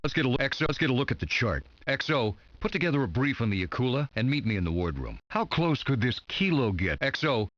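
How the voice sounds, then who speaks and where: A man reading game choices aloud as a submarine captain, quick and stumbling over words. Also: mid-range voice, sing-song, highs cut off, noisy background. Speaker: streamer playing the captain.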